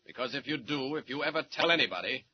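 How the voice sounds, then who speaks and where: A man's voice: medium pitch, animated, quick pace, husky. A man playing a confident, menacing costumed hero in a 1940s radio serial, on a muffled, hissy recording. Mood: stern, threatening.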